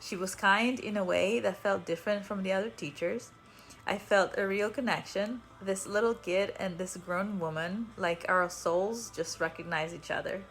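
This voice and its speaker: A woman's voice: medium pitch, steady tone, moderate pace, a bit bright. A woman with an Italian accent, a native Italian speaker, hosting a podcast with casual personal storytelling in a chatty, self-deprecating manner. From Italy. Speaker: podcast host.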